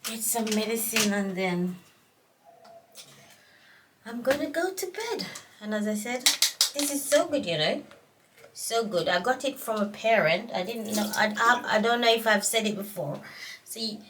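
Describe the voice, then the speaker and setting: A middle-aged woman with a Jamaican accent, vlogging casually while congested from a cold, talking in a slow, tired, rambling way. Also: medium pitch, lively intonation, far from the mic, background noise. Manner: slow, tired, rambling talk with many fillers. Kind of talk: casual vlog chat.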